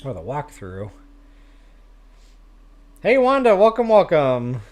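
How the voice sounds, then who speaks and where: A man's voice: on the high side, wildly swooping pitch, takes it slowly. A man hosting a game livestream, chatting casually with viewers in a self-deprecating way. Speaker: live stream host, gamer.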